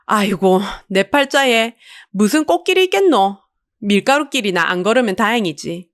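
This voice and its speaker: A woman's voice: medium pitch, animated, moderate pace, no room sound, studio quality, close to an everyday voice. A woman voicing a bold, cheeky middle-aged street-food seller with a Busan accent, chatty and full of playful wheedling. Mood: grumbling.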